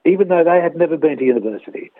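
A man's voice: fairly high pitch, lively intonation, dry recording. Man in his sixties on a tinny phone line. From Australia. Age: 60s. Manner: measured, storytelling, frequent uh pauses. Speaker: interview guest. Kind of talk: reflective interview answers.

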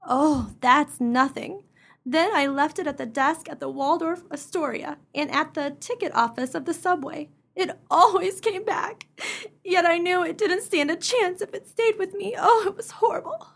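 A woman's voice: very high-pitched, moderate pace, vocal fry, a bit bright, heavily acted. An actress playing a desperate, forlorn young mother in a comic radio melodrama, with earnest, melodramatic delivery. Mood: distraught.

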